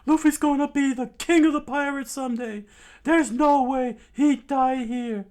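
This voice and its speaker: A man voicing a scared but stubborn young male pirate who screams his lines in a strained, high yell. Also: fairly even intonation, a little slow. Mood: defiant shouting.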